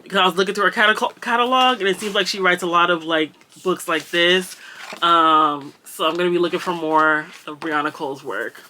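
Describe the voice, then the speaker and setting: A woman's voice: fairly low pitch, pushed and bright. A young woman with a slight Jamaican accent, chatting casually through a book wrap-up and rambling with lots of ums and likes. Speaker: BookTuber.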